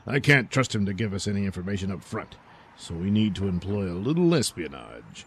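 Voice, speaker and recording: Casting: man to play a self-important, bossy costumed hero for superhero radio comedy; gravelly, bombastic delivery. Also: deep voice, very animated, a little fast, a little hiss or hum. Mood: scheming, matter-of-fact.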